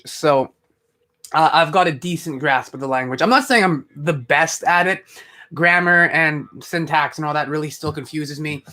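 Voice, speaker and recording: A young man with a fairly high voice chats to viewers on a live stream. He rambles, restarts his sentences and fills the gaps with ums and likes. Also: a bit bright. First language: English. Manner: rambling, chatty, many fillers and restarts. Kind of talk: casual live commentary chat.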